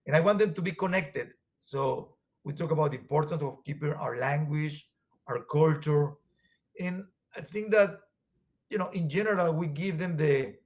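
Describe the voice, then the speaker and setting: A man from Venezuela whose first language is Spanish, speaking in a Venezuelan accent in an earnest, halting way with many fillers as an interview guest. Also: fairly high pitch. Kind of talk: conversational interview.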